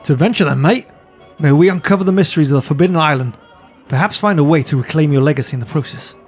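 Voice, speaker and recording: A man voicing an excitable young helicopter pilot who talks eagerly and loudly. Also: a little high, fast, soft background music, natural voice. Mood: thrilled. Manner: boisterous, eager, shouting with excitement.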